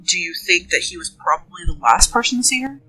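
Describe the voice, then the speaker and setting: A woman's voice: fairly low pitch, expressive, fast talker, pushed and bright, husky, heavily acted, rough recording. A woman playing an earnest police detective in a role-play drama, asking probing questions politely and rephrasing them tentatively as she goes. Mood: focused. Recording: music under the voice.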